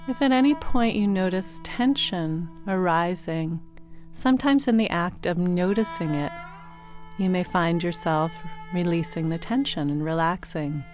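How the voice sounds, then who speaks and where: Female meditation guide for sleep audio: lowish register, very slow, gentle delivery over quiet music. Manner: gentle, soothing, unhurried instruction with pauses. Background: meditation music with singing bowl tones.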